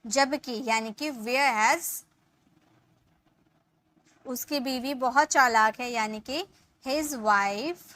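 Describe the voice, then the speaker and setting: Bright, high-voiced woman teaching English. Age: 30s. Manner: explains in Hindi, then says English sentences slowly and clearly, word by word; addresses viewers as guys, checks in with "ठीक है". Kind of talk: spoken English lesson in Hindi.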